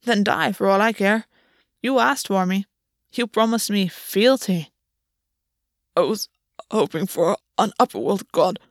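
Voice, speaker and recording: A woman's voice: mid-range voice, unhurried, pauses often, no echo, clean studio sound, close to an everyday voice. A woman voicing a wounded male fae who speaks defiantly through strain.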